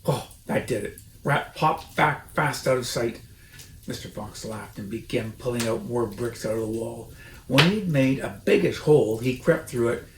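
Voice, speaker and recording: Animated man reading a storybook aloud. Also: mid-range voice, moderate pace. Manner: theatrical, chatty. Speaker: storybook reader and host. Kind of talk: expressive read-aloud storytelling with casual asides. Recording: roomy echo, faint noise.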